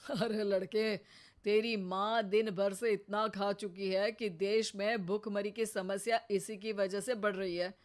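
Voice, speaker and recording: A woman's voice: mid-range voice, level tone, measured pace, close to an everyday voice. A woman voicing a sarcastic older father-in-law in a cartoon, teasing his wife. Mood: mocking.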